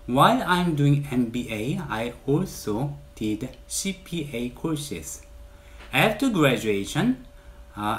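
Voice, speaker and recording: Earnest Korean-accented man addressing camera. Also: medium pitch. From South Korea. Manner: earnest, measured, with stressed lifts on key words. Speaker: realtor. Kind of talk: direct-to-camera channel introduction. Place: bright indoor room.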